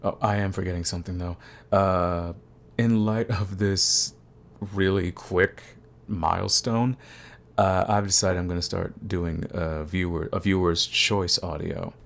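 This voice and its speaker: Male channel host: low and croaky, informal, rambling chat straight to listeners. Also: fairly even intonation, measured pace. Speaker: audio roleplay creator, channel host. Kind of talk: casual rambling channel update.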